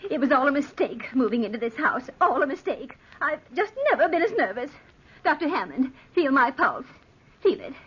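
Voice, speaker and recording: A frightened old landlady from a vintage radio play. She frets and repeats herself in a very high, flustered voice. The sound is dull and lo-fi. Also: sing-song, normal speed, a bit bright. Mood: panicky, fretful. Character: old, nervous, frightened boarding-house landlady. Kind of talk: old-time radio drama acting.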